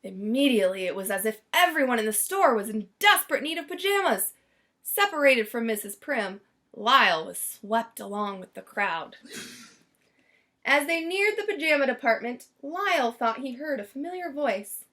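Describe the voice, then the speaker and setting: Female storybook narrator, high-pitched and very melodic, expressive, leans on key words. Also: moderate pace. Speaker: storybook reader. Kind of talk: expressive children's read-aloud narration.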